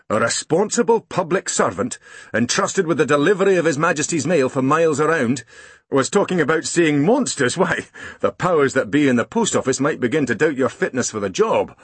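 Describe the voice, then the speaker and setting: Man putting on a dry sly scottish old fella, talks slow and solemn, lively lilt. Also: medium pitch, slightly rough, no echo. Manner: measured, dry, quietly persuasive. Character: shrewd older Scottish countryman, calm and solemn.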